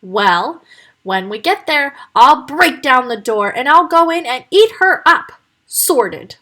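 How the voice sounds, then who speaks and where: A big, blustering male wolf from a children's storybook, as a woman reads him aloud. He booms out his lines firmly. The voice sits up high and swings around a lot. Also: very animated, a little slow, a bit bright, full character voice. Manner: booming and firm. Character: big bossy male wolf, blustering but good-hearted.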